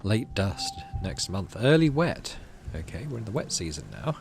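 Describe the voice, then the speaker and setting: A man with a fairly deep voice plays a game and comments casually. His pitch moves around a lot, and he sometimes mutters to himself. Soft music plays underneath. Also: very animated, moderate pace, creaky. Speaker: gaming host. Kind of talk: casual gameplay commentary mixed with reading game text aloud.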